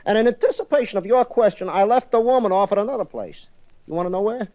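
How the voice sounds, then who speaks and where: An actor playing a chatty, rambling cab driver in a 1950s radio drama. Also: male voice, high-pitched, very animated, quick pace, no room sound. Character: fast-talking male cab driver, rambling. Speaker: cab company representative. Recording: dull old radio broadcast.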